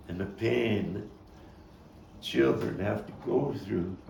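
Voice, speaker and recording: A man performing a stage monologue as a haunted Brooklyn man confessing a boyhood killing, halting, brooding, bitter and anguished. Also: low voice, creaky, a little mellow, heavily acted. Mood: anguished pleading. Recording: roomy, distant mic, faint noise.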